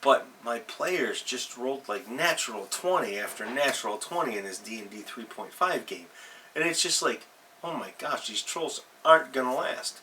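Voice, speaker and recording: A man hosting a role-playing advice show, telling anecdotes in a casual, halting monologue and often correcting himself as he goes. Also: medium pitch, bright, forceful tone. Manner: halting, self-correcting, rambling. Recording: distant mic with faint background noise.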